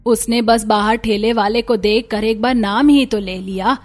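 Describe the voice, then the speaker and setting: A woman's voice: fairly high pitch, steady tone, normal speed, soft background music, lightly acted. A woman voicing a firm, peacekeeping middle-aged mother-in-law in a cartoon story, reasoning and admonishing. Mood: gently protesting. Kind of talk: Hindi cartoon story voice acting.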